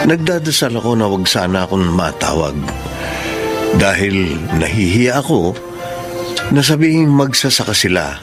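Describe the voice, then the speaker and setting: A man playing a young farmers' son, resentful and ashamed of his parents, in a Filipino radio drama. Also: fairly low pitch. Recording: dramatic music behind the voice.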